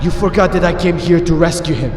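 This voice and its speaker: A man's voice: on the high side, quick pace, distant mic, lightly acted. A man playing a determined, protective wasteland rescuer in a game roleplay, urgent and earnest. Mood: defiant. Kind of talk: amateur video game roleplay acting. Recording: echoey hall reverb, faint music.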